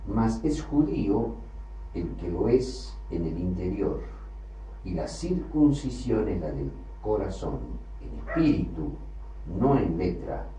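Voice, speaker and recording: Emphatic preacher in an echoey hall. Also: male voice, a little hiss or hum. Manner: emphatic, rising to loud bursts then dropping low. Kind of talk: sermon. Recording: echoey hall, from far off, faint ambience.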